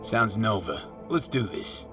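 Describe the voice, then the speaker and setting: Game role: low-voiced, dry, curious mercenary man. Terse, matter-of-fact, naturalistic, under very prominent music. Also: expressive, unhurried. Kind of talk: naturalistic video game dialogue. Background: loud music.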